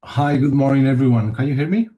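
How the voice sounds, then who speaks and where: A male financial analyst calling into an earnings call, asking questions off the cuff with some halting repeats. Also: medium pitch, mellow, muffled recording.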